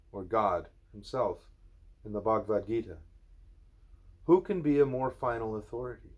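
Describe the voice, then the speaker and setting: A man reading a spiritual letter aloud at home, in a measured, earnest voice with deliberate pauses. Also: mid-range voice, a little mellow. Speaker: reader of a spiritual book.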